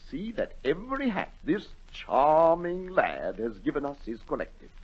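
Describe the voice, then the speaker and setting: A male actor playing a vain, excitable storybook king in a 1940s radio drama, theatrical and imperious. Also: a little high, measured pace, slightly rough, full character voice. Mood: pompous. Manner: theatrical, imperious, swinging from hushed awe to outbursts. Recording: dull, lo-fi 1940 radio broadcast.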